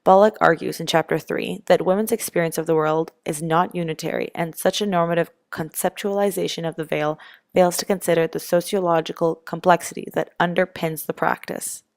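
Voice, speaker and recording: A woman's voice: lowish voice, steady tone, a little fast, gravelly, darkish tone, no echo, clean studio sound. A young woman reading formal, scripted academic narration for a student documentary.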